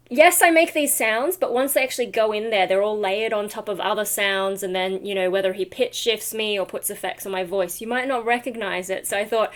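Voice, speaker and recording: A woman chatting casually for a vlog in a tired, rambly, self-deprecating way with trailing asides. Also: fairly high pitch. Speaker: vlogger and metal vocalist.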